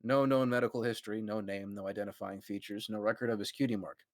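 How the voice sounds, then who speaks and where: A man's voice: on the low side, fairly even intonation, fast, no echo, clean studio sound. A man reading a story aloud as narrator for a group, with amused asides to friends.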